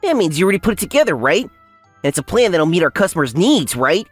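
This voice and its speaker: A man playing an earnest young factory worker in a manga dub, polite but indignant when wronged, with an expressive, emphatic delivery. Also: sits high, quick pace, no room sound, faint music behind. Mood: demanding. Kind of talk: anime-style manga dub acting with first-person narration.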